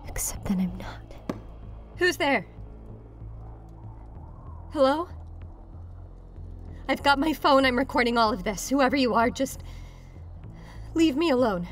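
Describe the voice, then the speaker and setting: A woman playing a wary woman filming alone in the woods in an audio drama, hushed and hesitant. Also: high-pitched, lively intonation, slow pace, long pauses, faint music behind. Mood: frightened, defiant.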